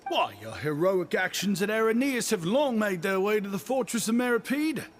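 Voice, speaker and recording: An actor playing a humble, star-struck shopkeeper in a video game, speaking in a flowery, deferential way. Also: male voice, high-pitched, sing-song, normal speed. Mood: awed, apologetic. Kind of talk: video game character acting. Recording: game audio under music.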